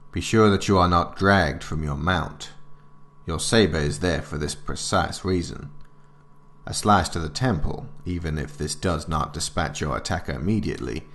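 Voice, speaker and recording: Extremely deep, gravelly male handbook narrator. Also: moderate pace, fairly bright tone, a little hiss or hum. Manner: formal, instructional, grave. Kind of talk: scripted dramatic narration.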